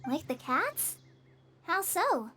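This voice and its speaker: A woman's voice: very high voice, sing-song, takes it slowly, full character voice. A woman voicing a sweet, innocent little girl in a video game, with a childlike, earnest, wondering manner. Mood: curious. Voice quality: childlike, bright-eyed. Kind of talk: video game cutscene acting. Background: game music.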